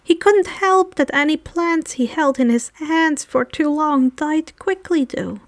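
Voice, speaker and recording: A woman's voice: high-pitched, unhurried, no room sound. A woman narrating a story expressively as an amateur audiobook reader, now and then stumbling slightly over a word.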